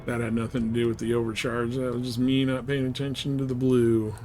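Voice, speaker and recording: A man's voice: mid-range voice, little pitch movement, brisk, darkish tone. A man livestreaming a video game with casual commentary, rambling a little as he thinks aloud. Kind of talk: casual live gameplay commentary.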